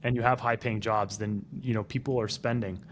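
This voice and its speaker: A man's voice: fairly low pitch, steady tone, a little fast, a little hiss or hum. Opinionated man co-hosting a podcast. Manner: opinionated, explains at length. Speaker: podcast co-host. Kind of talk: casual podcast chat.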